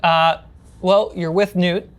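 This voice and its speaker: A woman's voice: fairly low pitch, fairly even intonation, slow. A woman narrating a tabletop role-playing game as game master.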